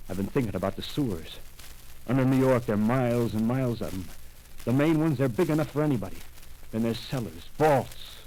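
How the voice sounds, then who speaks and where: An actor playing a rough, power-hungry soldier in a 1930s radio drama, talking in coarse streetwise slang and pressing his point. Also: lowish voice, dark tone, a little hiss or hum, close to an everyday voice, poor audio.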